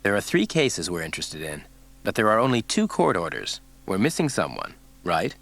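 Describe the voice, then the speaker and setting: A man's voice: on the low side, very animated, moderate pace, fairly bright tone, full character voice. A man voicing a cocky male federal agent who speaks mildly and wryly. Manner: mild, wry, cocky.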